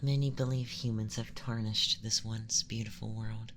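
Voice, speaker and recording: An ASMR performer voicing a calm, condescending young male alien abductor in a breathy, often whispered, gentle and formal monologue. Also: medium pitch, moderate pace, background noise, close to an everyday voice. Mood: solemn, explanatory. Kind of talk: ASMR roleplay, scripted monologue.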